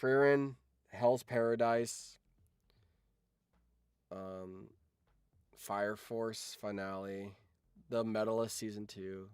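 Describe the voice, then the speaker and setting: A man's voice: fairly low pitch, steady tone, slow, long pauses, darkish tone, clean tone, no echo, studio quality. Casual, sweary guy livestreamer. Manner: rambling, sweary. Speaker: livestream host.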